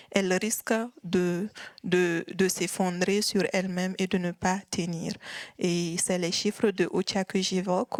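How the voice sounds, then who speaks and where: A woman's voice: mid-range voice, no room sound. Earnest woman in her thirties, dry sound. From Sahel region of West Africa. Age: 30s. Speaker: activist and foundation head, panel speaker. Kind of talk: public testimony at a panel talk.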